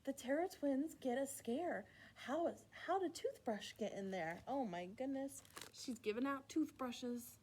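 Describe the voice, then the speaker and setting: A woman hosting a children's storytime, reading a rhyming picture book aloud in an expressive, playful way with asides to young listeners. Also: sits high, a little slow, few pauses, slight background noise. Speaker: children's library storytime host.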